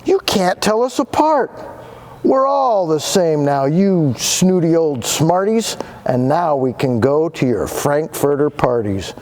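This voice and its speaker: A man's voice: a little high, very animated, slow pace, a little mellow. A man voicing a gang of gleeful small storybook creatures who taunt the others. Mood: gleeful, crowing.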